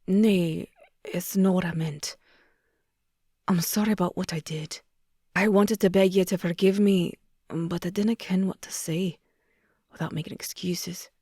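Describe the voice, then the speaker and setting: A woman's voice: steady tone, unhurried, long pauses, breathy edge, dry recording, studio quality. Woman doing a remorseful Scottish young man. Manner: low, hushed, earnest.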